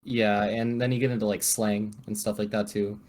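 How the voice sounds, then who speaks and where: A man's voice: fairly low pitch, flat delivery, moderate pace, a little mellow. A young man from the Bay Area, a podcast guest who rambles casually and says "like" a lot. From San Francisco Bay Area. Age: young adult. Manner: rambling, heavy use of "like". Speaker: guest, EMT.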